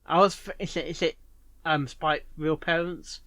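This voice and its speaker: Man musing aloud in a fairly high voice. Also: steady tone, moderate pace, bright, forceful tone, no echo. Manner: musing, speculative, thinking aloud. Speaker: reaction video host. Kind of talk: unscripted reaction commentary.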